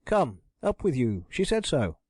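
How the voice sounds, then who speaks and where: A cheerful, kindly butler, as a man acts him, encouraging and warm in his manner. The voice swings widely in pitch, sounds husky, and is recorded dry and close. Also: big pitch swings, a little slow, no echo.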